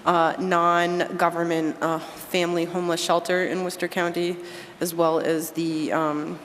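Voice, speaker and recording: A woman on a school committee, making announcements at a meeting while fighting a cold and losing her voice, halting with many fillers. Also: lowish voice, flat delivery. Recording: echoey meeting hall with faint noise.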